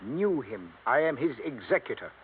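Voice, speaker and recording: A man's voice: mid-range voice, very animated, normal speed, breathy edge, narrow, tinny audio. A man playing a guarded, formal senior attorney in an old radio drama, precise and a little pompous. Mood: reserved. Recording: thin, band-limited vintage radio recording.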